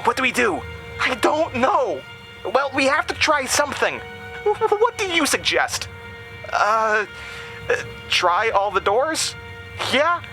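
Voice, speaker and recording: Male performer as frightened boy, raised pitch, urgent, stammering, horror audio drama, music behind. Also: big pitch swings, slow pace, long pauses, exaggerated voice, low-quality recording. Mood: anxious, urgent. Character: frightened schoolboys, panicked and urgent.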